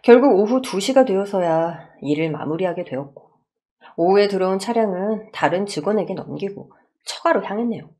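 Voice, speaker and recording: A woman's voice: medium pitch, expressive, normal speed, mellow. A woman reading a scripted first-person story as a radio drama narrator.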